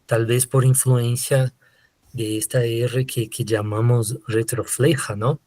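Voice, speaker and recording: A Brazilian man with a Portuguese accent lectures over a video call, speaking very slowly. He pauses often, fills gaps and checks in with tag questions. His voice sounds dry and close, with no room around it. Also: medium pitch, flat delivery, mellow, no echo. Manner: slow and hesitant, many fillers and tag questions. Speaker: literature lecturer. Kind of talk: online lecture. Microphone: computer mic on a video call.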